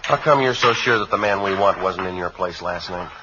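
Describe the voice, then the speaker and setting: A man's voice: sits low, brisk, background noise, close to an everyday voice. A man playing an American police sergeant in a 1950s radio drama, narrating and questioning in a terse, matter-of-fact, businesslike way. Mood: firm. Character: calm, businesslike male police sergeant narrating his case. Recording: dull 1950s radio broadcast, faint music.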